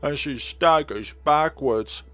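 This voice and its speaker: A man's voice: fairly high pitch, slow, fairly bright tone. A man reading a visual novel aloud as a let's player, dropping in wry, casual commentary. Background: game music under the voice.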